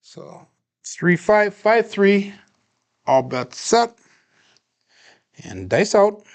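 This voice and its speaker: A man calmly narrating his own craps dice practice on a livestream, walking viewers through each bet and roll. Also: sits high, drawn-out words, creaky. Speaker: craps practice live streamer. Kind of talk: casual play-by-play livestream narration.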